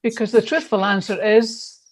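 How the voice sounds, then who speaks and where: A woman's voice: medium pitch, moderate pace. A woman reading a scripted church devotional in an emphatic, earnest manner.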